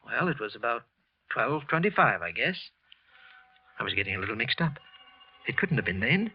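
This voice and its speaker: An actor playing a nervous, bitter office clerk hiding a murder in an old-time radio drama, with halting, evasive delivery. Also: male voice, mid-range voice, big pitch swings, slight background noise. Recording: muffled old radio broadcast recording.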